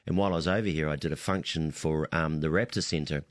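Kiwi winemaker guest, deep voice, loose repetitive chat, dry studio. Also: male voice, fairly even intonation, fast, dry recording. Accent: New Zealand. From New Zealand. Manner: rambling, repeats words, laughs easily. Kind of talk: casual talk radio chat.

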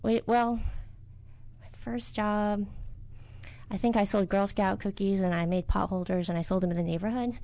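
A middle-aged woman from the United States, a business owner answering interview questions in a soft, hesitant way with many fillers. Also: medium pitch, fairly even intonation, normal speed.

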